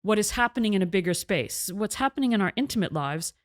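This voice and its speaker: A woman's voice: medium pitch, expressive, fast talker. A middle-aged woman, an author and journalist, speaking articulately as an interview guest and explaining her ideas at length. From half Egyptian, half British; raised in Canada. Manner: articulate, explanatory, with occasional restarts. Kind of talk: interview conversation.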